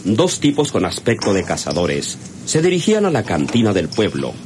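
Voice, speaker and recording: A man's voice: on the low side, huge pitch swings, moderate pace, noisy background. A man narrating a radio serial in a dramatic scripted style. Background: music.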